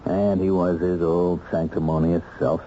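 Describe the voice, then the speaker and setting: A low-voiced man, an earnest ex-convict husband in a radio play, measured and wry with small hesitations. The old recording is dull and a little noisy. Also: flat delivery, mellow. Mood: earnest, intent. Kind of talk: radio drama acting.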